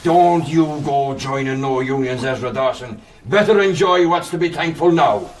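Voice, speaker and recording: An actor playing a crusty, folksy country horse handler in a period radio drama, grumbling and coaxing. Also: male voice, medium pitch, normal speed, far from the mic, slight background noise, close to an everyday voice. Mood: scornful, grumbling. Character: crusty, affectionate male rustic horse handler.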